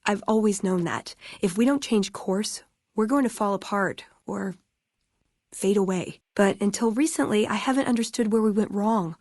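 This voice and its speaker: An American actress playing an earnest, wry young idealist in a video game, speaking with dry sarcasm and conviction. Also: female voice, medium pitch, normal speed, darkish tone, no echo, close to an everyday voice. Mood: earnest, worried. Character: earnest, wry young woman, idealistic tech-order scribe. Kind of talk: video game character dialogue.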